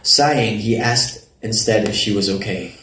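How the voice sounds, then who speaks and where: A man's voice: on the low side, normal speed, pushed and bright. Man reading romance fiction in an echoey venue. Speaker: narrator reading a novel excerpt. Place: roomy event venue at a public reading. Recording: echoey live venue, audible background noise.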